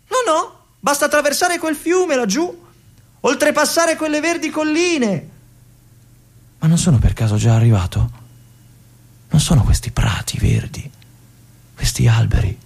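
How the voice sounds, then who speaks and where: An actor playing an aging, lonely army lieutenant in a theatrical radio monologue, reflective and resigned, with long pauses and soft whispered asides. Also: male voice, extremely high pitch, creaky, exaggerated voice. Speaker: stage actor.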